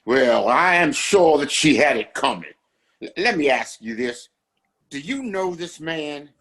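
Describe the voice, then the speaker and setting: Male actor as domineering Western patriarch for audio play: self-righteous, formal, very animated, husky. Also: fairly high pitch, measured pace, exaggerated voice. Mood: stern, imperious. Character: pompous, domineering father who runs a Western town. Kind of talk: Western audio drama acting.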